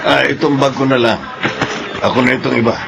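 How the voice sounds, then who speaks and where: A man's voice: mid-range voice, fairly even intonation, fairly quick, vocal fry, noisy background. A man playing an affectionate, easygoing middle-aged father with a Filipino accent, in radio drama style. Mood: fond, teasing.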